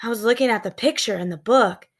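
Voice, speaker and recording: A woman's voice: fairly high pitch, fast talker. Woman playing a young storybook boy, cautious, bookish, skeptical, hesitant delivery. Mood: bewildered, doubting. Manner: hesitant, doubting. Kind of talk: children's storybook character voice.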